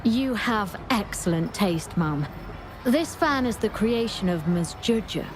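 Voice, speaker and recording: A woman's voice: medium pitch, animated, takes it slowly, noisy background. An actress voicing a polite, welcoming female vendor at a festival stall in a video game, explaining her wares. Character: courteous female fan-painting vendor at a festival. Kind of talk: scripted video game voice acting. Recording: music playing behind the voice. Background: game music.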